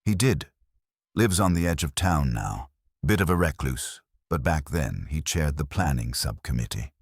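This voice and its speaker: A man voices a diligent police sergeant in a mystery audiobook. He reports deferentially in clipped phrases, with a deep voice and lively rise and fall. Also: moderate pace, husky, no room sound, studio quality, full character voice. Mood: earnest, reporting. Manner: clipped, matter-of-fact reporting. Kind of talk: audiobook detective mystery character reading.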